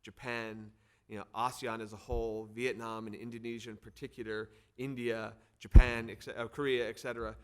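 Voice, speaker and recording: A man's voice: fairly low pitch, flat delivery, brisk, clean tone. A middle-aged man from the United States with an American accent, hosting an event with a semi-formal, partly off-the-cuff speech, repeating words for emphasis. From United States, Arizona. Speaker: think tank head hosting and introducing speakers.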